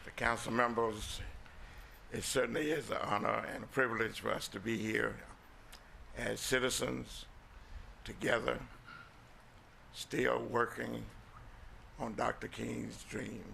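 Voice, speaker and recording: An American pastor, a man with a heavily creaky voice and very flat intonation, addresses a council meeting. He is solemn and deliberate, pausing often between short phrases. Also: mid-range voice, slight background noise. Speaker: pastor, guest speaker. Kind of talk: formal thank-you speech with blessing. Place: city council meeting room.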